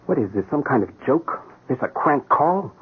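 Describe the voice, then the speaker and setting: An actor playing a gruff, wary police sergeant in a radio mystery drama, blunt, skeptical and conversational. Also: male voice, mid-range voice, big pitch swings, normal speed, natural voice. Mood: groggy, irritated. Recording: noisy, tinny old radio broadcast.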